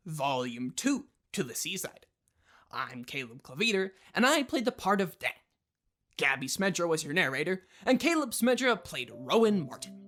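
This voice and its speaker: A man's voice: fairly high pitch, bright, forceful tone. A young man reading a scripted credits announcement for an audio drama. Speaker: cast member reading credits.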